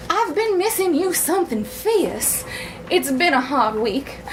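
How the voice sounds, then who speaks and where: A melodramatic Civil War wife played by a woman, high-voiced and unhurried, pines through her letters with genteel, swooning primness and bawdy undertones. Her pitch sweeps dramatically. It is a comic live reading with a little background noise. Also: sing-song, poor audio. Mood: tearful longing.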